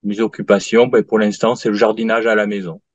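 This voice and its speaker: A man in his late fifties giving a halting testimonial in an interview, full of fillers and restarts. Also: on the low side, little pitch movement, over a noisy crowd. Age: 50s. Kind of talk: hesitant interview answers. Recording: dull lo-fi dry sound.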